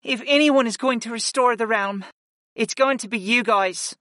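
A man voicing a tearful, affectionate young woman mage in an audiobook, speaking softly and emotionally through tears. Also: very high voice, normal speed, no room sound, clean studio sound, voice pushed up. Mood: tender. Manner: soft, tearful and pleading. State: crying. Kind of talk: audiobook character voice.

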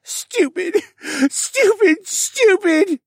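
A man voicing an anxious, tearful young stable boy in an audiobook, with a rough, halting, stammering and pleading delivery. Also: high-pitched, expressive, no room sound, voice pushed up. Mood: anguished. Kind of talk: audiobook character acting.

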